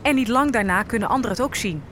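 A woman's voice: fairly high pitch, lively intonation, quick pace, lightly acted. Actress as captive enslaved woman, ship noise behind. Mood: bleak. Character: captive enslaved young African woman recounting her ordeal. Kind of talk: dramatic first-person audio drama narration.

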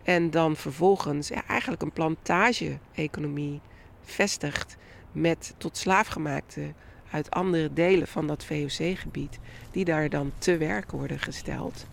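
Woman history expert chatting through an explanation, creaky low voice, bit halting, faint noise behind. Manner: halting, conversational. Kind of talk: informal spoken explanation.

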